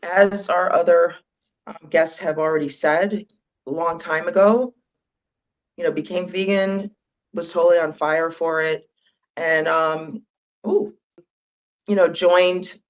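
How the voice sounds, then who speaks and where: A woman speaking as a panelist on an online call, talking into a phone from the floor of an animal rescue, with a loud, bold voice and candid, tearful manner. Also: on the low side, steady tone, slow, long pauses, rough recording. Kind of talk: emotional panel talk. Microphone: phone clipped to a dog crate.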